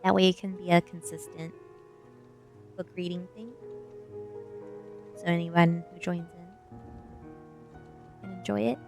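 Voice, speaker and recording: A woman's voice: fairly low pitch, fairly even intonation, unhurried, vocal fry, long pauses, over music. A woman streamer who does ASMR book readings, speaking to her online audience. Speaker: streamer reading a novel aloud. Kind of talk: ASMR book reading, dramatic first-person narration; casual chat to stream viewers.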